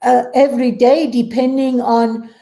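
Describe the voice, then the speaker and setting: A woman's voice: fairly high pitch, flat delivery, measured pace, creaky, warm tone. A woman in a reflective casual conversation, thinking aloud hesitantly and rephrasing as she goes.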